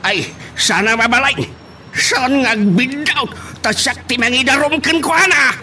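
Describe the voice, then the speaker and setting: A man's voice: very high-pitched, measured pace, over loud music. A man playing an old, protective, indignant grandfather in a radio drama, with an Ilocano accent. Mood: alarmed, indignant.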